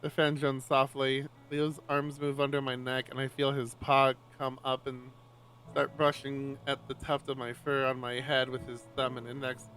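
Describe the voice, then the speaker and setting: A man streaming live, reading a visual novel aloud in an expressive, swearing style with loud outbursts. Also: mid-range voice, normal speed, a little hiss or hum, low-quality recording.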